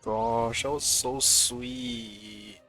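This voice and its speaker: A male streamer talks casually over a game, musing aloud as he reacts. His pitch swings widely and his voice breaks into creak. Faint music runs behind. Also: big pitch swings, very slow, bright, forceful tone, poor audio. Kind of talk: casual live let's play commentary.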